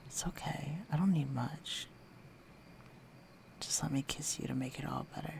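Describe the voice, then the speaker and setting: A man's voice: a little high, fairly even intonation, normal speed, darkish tone, whispered. A man playing a flirtatious, teasing villain with a secretly tender side, speaking intimately to the listener in an audio roleplay. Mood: seductive, hushed. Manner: teasing, coaxing, breathy murmurs.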